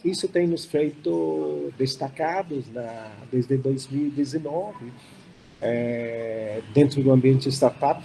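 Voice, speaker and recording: Older Chilean-accented man, mellow voice. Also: medium pitch, creaky, slight background noise, poor audio. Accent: Chilean Spanish. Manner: unhurried, many fillers and restarts. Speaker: startup founder panelist. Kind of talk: hybrid panel talk.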